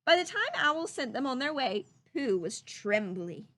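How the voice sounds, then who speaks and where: A woman reading a children's story aloud in an animated, emphatic, teacherly way. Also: high-pitched, unhurried. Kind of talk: expressive read-aloud narration with teacherly questions to listeners.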